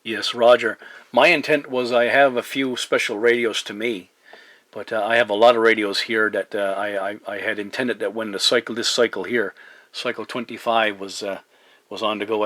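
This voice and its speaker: Low-voiced man on a dry radio contact. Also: steady tone, fairly quick, a bit bright, no echo. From Newfoundland, Canada. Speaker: amateur CB radio operator hosting a video contact. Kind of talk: casual radio chat with operator jargon.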